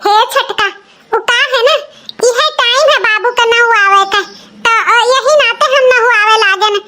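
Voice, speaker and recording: A man's voice: very high voice, normal speed, sharp tone, slight background noise, exaggerated voice. A man voicing a meek, caring elder village sister-in-law in a cartoon, plaintive and apologetic, with an Awadhi accent. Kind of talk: comic cartoon dialogue.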